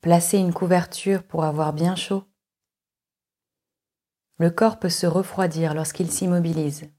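A woman leading a guided relaxation meditation as a yoga nidra instructor, giving calm, measured instructions with long pauses. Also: lowish voice.

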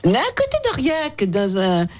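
A woman hosting a radio show in a lively, conversational interview style, chatty and teasing with guests. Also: high-pitched, unhurried, very noisy.

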